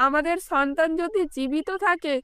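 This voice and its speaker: A gentle queen played by a woman speaks with a high, bright voice. Her manner is tender and dramatic, full of feeling, in storybook cartoon style with a dry sound. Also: fairly even intonation, normal speed, no room sound. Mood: moved, hopeful. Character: gentle, emotional queen longing for her lost child. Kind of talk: Bengali fairy-tale cartoon dubbing.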